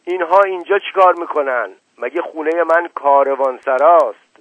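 A man voicing a quick-tempered, paranoid old man who shouts indignant orders. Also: a little high, no room sound, pitched above the performer's own voice, close to an everyday voice. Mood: furious. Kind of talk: audiobook character reading. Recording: tinny narrow-band, dry.

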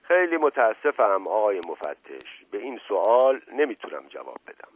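A man's voice: on the low side, very animated, a little hiss or hum. A man voicing a wily aristocratic suspect in a story, mock-bashful, sighing and theatrically lovelorn. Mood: meek, reluctant. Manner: halting, sighing, melodramatic. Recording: roomy lo-fi radio-like narrow band.

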